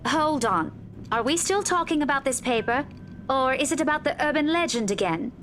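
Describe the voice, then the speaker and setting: A woman's voice: high voice, expressive, normal speed, fairly bright tone. An actress playing a sensible, doubtful young woman in a visual novel, with a coolly questioning manner. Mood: skeptical. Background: game music.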